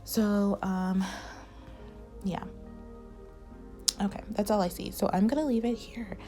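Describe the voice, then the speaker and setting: A woman's voice: mid-range voice, steady tone, slow, warm tone, soft background music. A woman doing a casual tarot reading, rambling with lots of fillers and losing her voice. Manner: rambling, many "like" fillers, advising tone. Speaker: tarot reader.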